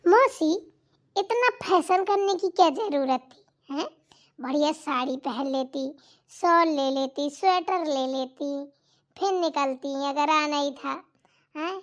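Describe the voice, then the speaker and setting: A woman voicing a cheeky, sharp-tongued young daughter-in-law in a cartoon comedy, mocking and talking back. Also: high-pitched, takes it slowly. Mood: teasing. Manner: mocking, talks back, teasing. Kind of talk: Hindi cartoon comedy voice acting.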